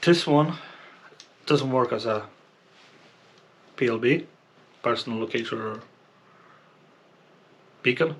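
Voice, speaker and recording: Slow, halting man explaining gear. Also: medium pitch. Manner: halting, explanatory, often checks with "okay?". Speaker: fishing channel presenter reviewing gear. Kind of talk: casual unscripted product review.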